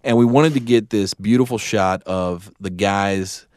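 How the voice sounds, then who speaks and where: A man's voice: lowish voice, a little slow, a little mellow, no room sound, studio quality. A man chatting casually as a podcast co-host, telling stories in an easygoing way. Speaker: filmmaker.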